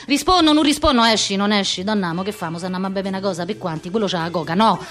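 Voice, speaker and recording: A woman's voice: medium pitch, rapid-fire, fairly bright tone, close to an everyday voice. An actress playing a neurotic, overwhelmed Roman street woman, rattling off staccato lists in a theatrical monologue with a Roman accent. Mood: restless, anxious. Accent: Roman Italian. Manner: rambling, staccato lists of short phrases.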